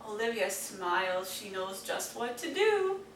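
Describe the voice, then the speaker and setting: A woman reading children's stories aloud, with an expressive, deliberate storytelling manner for young listeners. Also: medium pitch. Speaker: storybook reader. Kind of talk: children's read-aloud narration. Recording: roomy, distant mic, faint noise.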